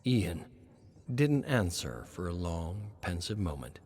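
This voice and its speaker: A man's voice: deep voice, sing-song, takes it slowly, darkish tone, a little hiss or hum. A man reading a scripted horror story as its narrator.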